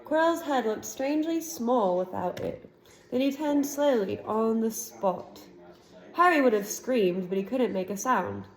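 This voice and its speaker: A woman with a fairly high voice reads a storybook aloud, telling it expressively and dropping into easy, chatty asides with her young listener. She sounds patient and engaged. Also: a little slow, low-quality recording. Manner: expressive narration, relaxed asides. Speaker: storybook reader. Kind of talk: reading aloud to a child.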